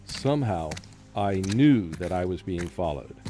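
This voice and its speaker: A man acts out a frightened professor in a radio horror drama. His voice sits low, halting and brooding, with music playing clearly underneath. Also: animated, mellow. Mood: rising terror. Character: frightened haunted male college professor recounting a curse.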